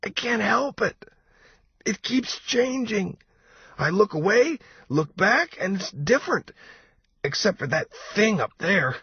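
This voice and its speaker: A man voicing a wretched, panicky young male traveler in armor for an audiobook, with a shrill, strained, whining delivery. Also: sing-song, slow pace, bright, forceful tone, breathy edge, no room sound, lo-fi sound, full character voice. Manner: whining, agitated complaining.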